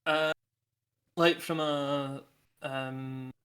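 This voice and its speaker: A man's voice: on the high side, fairly even intonation, drawn-out words, a bit bright. A man from Scotland with a light Scottish accent, chatting wryly on a live stream and laughing mid-sentence. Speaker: production manager. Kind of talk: casual chat on a live stream.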